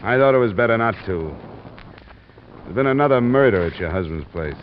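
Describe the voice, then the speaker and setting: Low, deadpan American radio detective played by a man. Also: fairly quick, close to an everyday voice. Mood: skeptical, probing. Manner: clipped, deadpan. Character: hard-boiled, wry private insurance investigator. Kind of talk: 1940s-50s radio detective acting and narration. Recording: muffled, noisy old radio recording.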